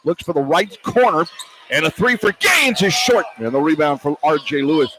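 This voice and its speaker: Male radio play-by-play announcer, fairly high voice, live basketball call, clear arena noise behind. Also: big pitch swings, normal speed.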